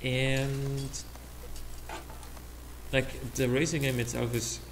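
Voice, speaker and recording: A young Austrian man, German his first language, chats loosely over his gameplay, his voice gravelly and full of ums and restarts. The recording carries a steady hiss underneath. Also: mid-range voice, drawn-out words. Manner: rambling, hesitant, many fillers and restarts. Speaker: let's play host. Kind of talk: casual rambling commentary over gameplay. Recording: noisy home recording.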